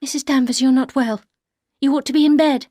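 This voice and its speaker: Woman doing a high, pleading young wife. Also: fairly even intonation, brisk, a little mellow, no room sound, studio quality. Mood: upset. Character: timid young wife, pleading then angry.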